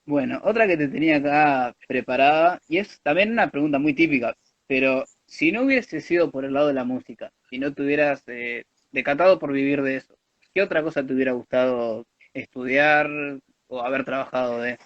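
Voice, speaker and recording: A man's voice: medium pitch, a little fast, dry recording. A man, a student politely asking prepared interview questions. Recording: dry, dull lo-fi mic.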